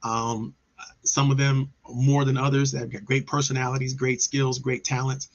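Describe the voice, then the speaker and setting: Enthusiastic guy hosting a biz show on a video call, kinda husky, dull lo-fi sound. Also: medium pitch, little pitch movement, normal speed. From Maryland. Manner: upbeat, enthusiastic, with frequent restarts. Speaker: host. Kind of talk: casual online business show.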